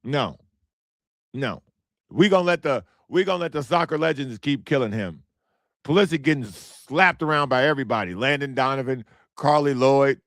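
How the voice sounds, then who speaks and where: An American man hosts his own podcast, talking bluntly and going over the same points twice. His voice is hoarse and strained, with a gravelly rasp. The sound is dry and close. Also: medium pitch, normal speed, no echo. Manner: animated, blunt, repeats himself. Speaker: podcast host. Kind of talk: casual solo commentary.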